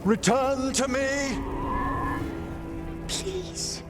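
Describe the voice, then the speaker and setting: An actor playing a gentle, formal older necromancer and mentor in a video game. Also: male voice, extremely high pitch, lively intonation, drawn-out words, full character voice. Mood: solemn, pleading. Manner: formal, precise, tender. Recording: music behind the voice.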